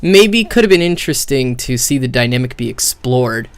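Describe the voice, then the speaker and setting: A young man streaming casual, rambling commentary on a video game, hesitating as he thinks out loud. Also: mid-range voice, animated, moderate pace, clear, resonant tone. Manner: casual swearing.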